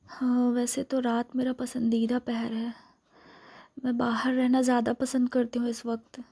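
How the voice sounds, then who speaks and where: Woman playing a breathy, whispering, seductive vampire woman. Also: a little high, monotone, a little slow, dark tone. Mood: calm, welcoming. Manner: breathy, lingering, intimate murmur with hums. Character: seductive, lonely vampire woman, obsessive yet coaxing. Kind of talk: soft-spoken whispered ASMR role-play.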